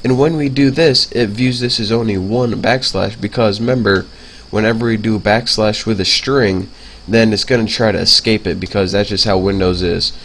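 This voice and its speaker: A young man with a stuffy nose from a cold walks through a coding tutorial in a loose, chatty way, full of "like" and "um." His voice sits a bit low. Faint hiss and soft typing hang behind him. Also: fairly even intonation, moderate pace. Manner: casual, filler-heavy, talks while typing. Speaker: programming tutor. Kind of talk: casual screencast coding lesson.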